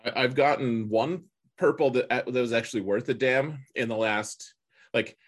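A man co-hosting a gaming podcast, chatting casually and colloquially with a husky voice and strong opinions. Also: mid-range voice, normal speed. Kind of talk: casual chat about a mobile game.

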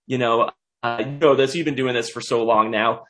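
A hoarse young man hosting a podcast, speaking casually and hesitantly. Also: mid-range voice, steady tone, moderate pace, fairly bright tone. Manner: hesitant, lots of uh fillers. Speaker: podcast host.

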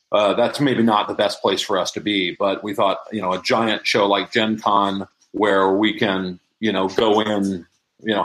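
Low-pitched middle-aged man, casual interview guest. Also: fairly bright tone, low-quality recording. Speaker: interview guest, member of a hobbyist society. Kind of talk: casual, explanatory interview talk.

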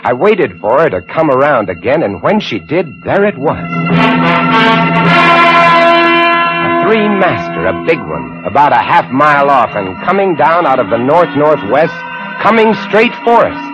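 Actor as dramatic, swooping lighthouse keeper telling tales. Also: male voice, mid-range voice, very animated, normal speed, lightly acted. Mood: tense, hushed wonder. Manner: intense, dramatic, savoring descriptive phrases. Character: male lighthouse keeper recounting an eerie sea tale. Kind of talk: old-time radio drama first-person narration. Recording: muffled old radio recording with music. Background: dramatic music.

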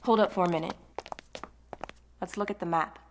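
A woman's voice: on the low side, steady tone, moderate pace, slight background noise, close to an everyday voice. A woman playing a practical, matter-of-fact leader of zombie survivors in an amateur audio drama. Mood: taking charge.

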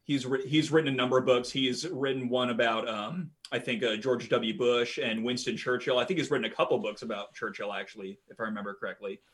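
A man's voice: medium pitch, steady tone, a little fast, few pauses, a bit bright. A young man, a podcast guest, talking earnestly and at length in a casual interview, with many fillers.

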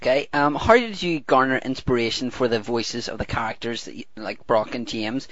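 A man's voice: medium pitch, moderate pace, fairly bright tone, no echo. Casual male interviewer, dry and muffled. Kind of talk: fan interview questions.

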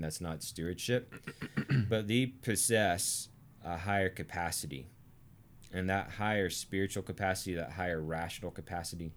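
A deep-voiced American man explains theology in a conversational teaching style. His delivery is deliberate and emphatic, with weighty pauses between phrases. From Arkansas, United States. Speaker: teacher and co-host of a theology series.

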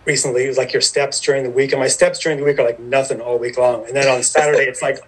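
A man's voice: medium pitch, fairly even intonation, rapid-fire, breathy edge, low-quality recording. A man, telling stories as a podcast guest in a rambling, self-deprecating way. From Ireland. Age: 50s. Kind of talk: casual storytelling interview.

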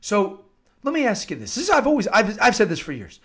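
High-voiced American man preaching earnestly. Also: huge pitch swings, fairly quick, breathy edge. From United States. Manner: earnest, emphatic, repeats phrases for emphasis. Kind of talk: informal devotional talk with scripture-like readings.

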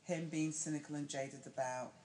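A woman's voice: low voice, steady tone, normal speed, dark tone, a little hiss or hum. A woman hosting an interview, encouraging her guest and laughing readily as she talks. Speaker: interviewer, podcast host. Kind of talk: casual interview conversation.

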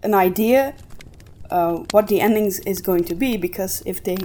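A woman's voice: mid-range voice, normal speed, mellow. A woman co-hosting a podcast, talking casually and giving emphatic opinions. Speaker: podcast co-host. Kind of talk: casual discussion of storytelling.

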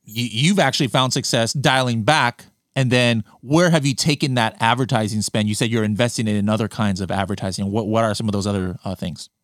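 A man hosting a casual interview, asking questions in a halting way with many fillers. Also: lowish voice, a bit bright, no echo, studio quality. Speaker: podcast host.

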